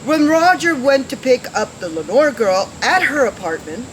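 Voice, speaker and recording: A man's voice: extremely high pitch, very animated, normal speed. A male actor playing a worried, formal parole official and father, earnest and polite, in an old-time radio detective drama. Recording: noisy, hissy radio play recording.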